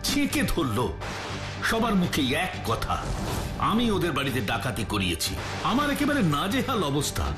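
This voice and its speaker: Cartoon village landlord, dramatic, very animated pitch, aggrieved, echoey room, music underneath. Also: male voice, normal speed. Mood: aggrieved, recounting. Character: distressed, dignified village landlord protesting his innocence. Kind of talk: cartoon voice acting in Bengali. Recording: roomy echo, music underneath.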